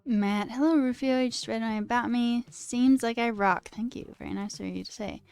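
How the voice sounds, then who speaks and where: A woman's voice: on the high side, normal speed, a little mellow, clean tone, no room sound. A young woman with a put-on British accent, chatting casually on a livestream and reading comments aloud in a self-deprecating, theatrical way, music behind her. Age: young adult. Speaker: live coding streamer.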